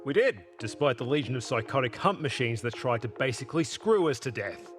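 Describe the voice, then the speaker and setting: Man acting as game starship captain, brash, crude, irreverent, lively intonation, clear background music. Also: medium pitch, a little fast, lightly acted. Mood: cocky. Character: brash, cocky, foul-mouthed male starship captain. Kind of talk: scripted video game dialogue.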